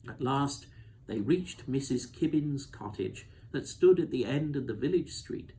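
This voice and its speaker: A man reading a children's novel aloud as expressive storybook narration. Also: mid-range voice, a little hiss or hum, poor audio.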